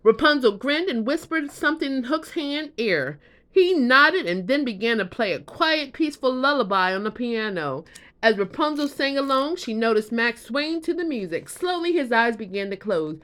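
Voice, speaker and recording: A woman narrates a children's bedtime story. Her voice sits fairly high and moves with lots of animated intonation, in an expressive storytelling style. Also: moderate pace.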